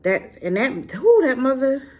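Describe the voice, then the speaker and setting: Sassy, animated woman reviewing. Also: high-pitched, measured pace. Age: adult, been married and divorced. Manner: animated, sassy, talks straight to viewers. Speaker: drama reviewer. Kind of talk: casual review chat.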